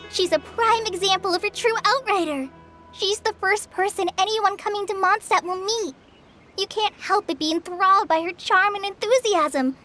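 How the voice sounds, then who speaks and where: A woman voicing a shy, earnest, kind teenage girl who works as a forest ranger in a video game. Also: extremely high pitch. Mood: earnest, bashful. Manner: earnest, apologetic, polite. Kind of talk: video game dialogue acting. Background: game music.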